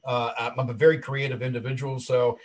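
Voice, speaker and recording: A man in his late fifties with a husky voice, chatting casually and talkatively as an interview guest, self-assured and full of anecdotes. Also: mid-range voice, flat delivery, a little fast, slight background noise. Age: late 50s. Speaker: interview guest, wrestling commentator and video creator.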